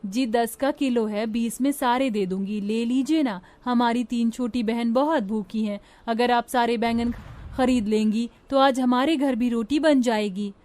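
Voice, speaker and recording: A woman's voice: on the high side, fairly even intonation, unhurried, darkish tone, natural voice. A woman voicing a poor, caring eldest orphan sister in a story, soothing and pleading.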